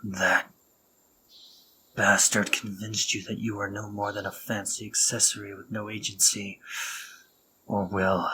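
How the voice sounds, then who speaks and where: A young man playing a remorseful, protective male spider monster in an audio roleplay, talking haltingly with stammers and soft whispers. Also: fairly low pitch, a little hiss or hum, full character voice, low-quality recording.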